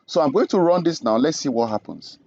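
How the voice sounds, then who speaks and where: A man's voice: a little high, expressive, fairly quick, vocal fry, mellow, no room sound, muffled recording. A young man from Nigeria teaching programming in a screen-recorded tutorial, explaining each step and checking in with "okay?". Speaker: programming instructor.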